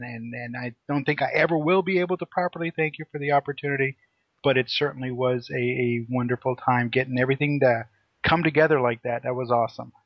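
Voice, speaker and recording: A man's voice: medium pitch, fairly bright tone, no room sound. A man hosting an interview, talking enthusiastically with many fillers. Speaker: host.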